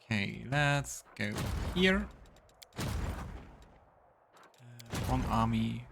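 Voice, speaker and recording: A man's voice: mid-range voice, expressive, very slow, creaky, background noise. A man giving casual let's play commentary on a video game, musing aloud to himself as he goes. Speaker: streamer playing and commenting on a game.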